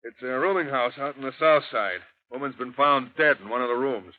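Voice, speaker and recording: An actor playing a brusque, commanding police commissioner in 1930s radio drama, barking curt orders. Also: male voice, medium pitch, brisk, lightly acted. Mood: businesslike. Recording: tinny, noisy 1930s radio recording.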